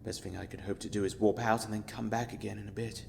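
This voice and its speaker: Male actor as despairing traveller, audio drama, gravelly mid-pitched voice, subdued, brooding, slight background noise. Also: fairly even intonation, fast talker, darkish tone. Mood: reflective.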